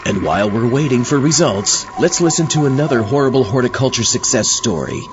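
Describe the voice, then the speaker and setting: A man's voice: mid-range voice, animated, fairly quick, lo-fi sound, noisy background, close to an everyday voice. A man playing a theatrical, tongue-in-cheek zombie astronaut who hosts a comic horror radio show. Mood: wry, theatrical. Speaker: host. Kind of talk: scripted comic horror narration.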